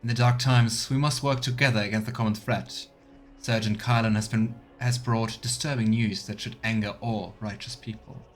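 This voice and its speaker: Man narrating a video game playthrough. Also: fairly low pitch. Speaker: game streamer. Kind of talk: let's play, reading game quest text aloud with casual asides.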